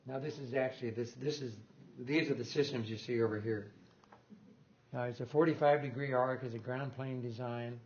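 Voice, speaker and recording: A man's voice: mid-range voice, fairly even intonation, normal speed, lo-fi sound, slight background noise. Older man lecturing, rambling and chuckling. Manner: rambling, self-correcting, with small chuckles. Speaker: guest lecturer on loudspeaker design. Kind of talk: informal technical presentation. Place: lecture room.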